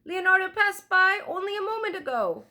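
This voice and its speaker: A toothless old townswoman from a children's storybook, as a woman reader puts her on. She is friendly and helpful, with a high, emphatic, swooping voice. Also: sing-song, fairly quick, bright, forceful tone, full character voice. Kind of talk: children's storybook read aloud.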